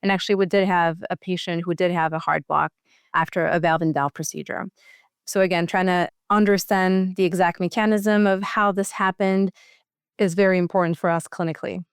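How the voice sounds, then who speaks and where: A woman's voice: fairly low pitch, steady tone, brisk, smooth, dry recording, clean studio sound. A woman speaking as a physician researcher and interview guest, explaining clinical details in a matter-of-fact, conversational way.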